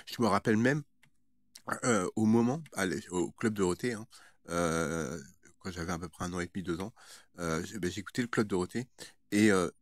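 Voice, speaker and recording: A man's voice: low-pitched, moderate pace, no echo, studio quality. A man talking casually to his viewers as a vlogger, in a rambling, hesitant way with frequent stammered repetitions of words. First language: French.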